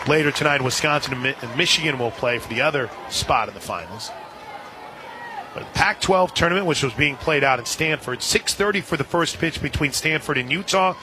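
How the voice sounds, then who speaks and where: A man calling softball play-by-play on the radio, conversationally filling in scores and details between pitches. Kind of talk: live softball radio commentary. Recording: outdoor stadium crowd behind the voice. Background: crowd noise.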